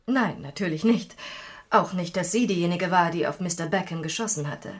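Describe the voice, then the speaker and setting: Casting: woman playing shrewd police detective, lowish voice, assured and factual, crime radio drama interview. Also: normal speed, natural voice. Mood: matter-of-fact, confident. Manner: matter-of-fact, assured. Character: female police detective, matter-of-fact and shrewd.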